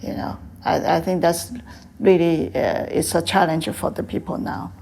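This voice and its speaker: An older woman from Taiwan with a Taiwanese accent, answering interview questions in halting English with frequent fillers. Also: fairly low pitch, fairly even intonation, vocal fry, darkish tone. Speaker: guest, tea house owner.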